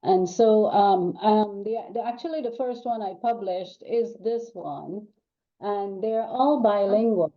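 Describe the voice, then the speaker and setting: A woman's voice: medium pitch, slow pace. Older Trinidad-born woman rambling over a dull call. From Trinidad and Tobago. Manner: rambling, with many ums and restarts. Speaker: guest author. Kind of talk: casual interview chat.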